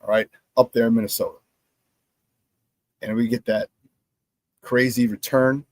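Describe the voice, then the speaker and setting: A hoarse middle-aged man hosting a sports talk show, telling animated stories, repeating his phrases and laughing at his own stories. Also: mid-range voice, a little slow. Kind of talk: casual sports talk monologue.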